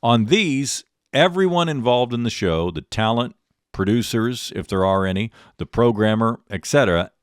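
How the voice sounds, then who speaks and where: A middle-aged man hosting a podcast, delivering a scripted instructional monologue in a measured, articulate presenter's way. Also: mid-range voice, animated, clear, resonant tone, no room sound, studio quality. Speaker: podcast host and radio talent coach.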